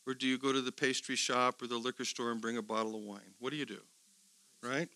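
A middle-aged man teaching a seminar, animated, asking his listeners questions and swinging between quiet asides and loud emphasis. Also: medium pitch, no echo, rough recording. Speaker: theology teacher. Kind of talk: seminar lecture to a live group.

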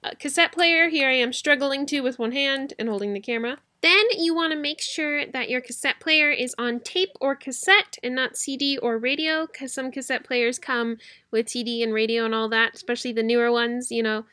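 A woman's voice: high-pitched, moderate pace, sharp tone, dry recording. A young woman chatting casually and informally to viewers as she hosts a how-to video, in a giggly, rambling manner. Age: young adult. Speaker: YouTube host, cassette collector. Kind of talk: casual tutorial chat to viewers. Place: small bedroom, indoors.

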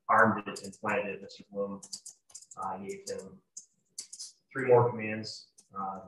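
A young man giving formal courtroom testimony as a sheriff's deputy, halting and matter-of-fact, over a video call. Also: on the low side, fairly bright tone. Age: young adult. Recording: echoey courtroom, distant mic, faint noise.

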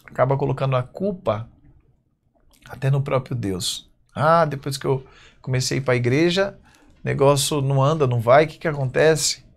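A man in his thirties with a Brazilian accent, preaching a sermon at a church pulpit, reading verses slowly and then speaking in quick bursts. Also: medium pitch, gravelly. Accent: Brazilian Portuguese. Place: church stage at a wooden pulpit.

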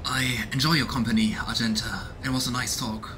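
A man's voice: medium pitch, steady tone, fairly quick. A man in his thirties hosting a let's play, musing casually and reading game text aloud. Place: small home room indoors. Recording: noisy, game ambience behind voice. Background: video game ambience.

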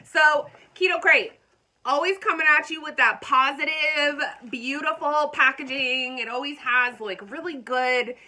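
A woman hosting a casual unboxing vlog, talking straight to her viewers in a bubbly, chatty way. Her voice is bright and sits a little high. Allergies leave it sounding congested and slightly froggy. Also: unhurried. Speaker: vlogger.

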